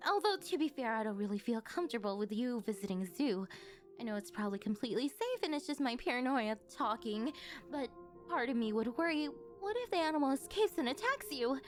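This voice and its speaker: A woman playing a rich, possessive older yandere girlfriend in a scripted ASMR roleplay, coaxing sweetly and rambling a little awkwardly. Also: high voice. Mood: sweet, concerned.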